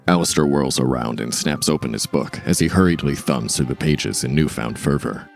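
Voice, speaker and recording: A man's voice: very deep voice, moderate pace, creaky, faint music behind. A man reading scripted dramatic narration as the narrator of an audio story.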